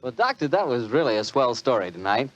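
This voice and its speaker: A man's voice: mid-range voice, sing-song, fairly quick. A man with an American accent, a polished, emphatic announcer in 1940s radio style. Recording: muffled 1940s radio recording, music behind. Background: music.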